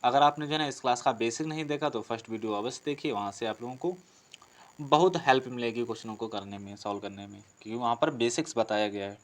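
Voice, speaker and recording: A man's voice: mid-range voice, fast. A man from teaching like a coaching instructor, posing questions and explaining answers with frequent repetition. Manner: explanatory, repeats and rephrases answers, asks himself questions. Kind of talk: Hindi grammar lesson, working through practice questions.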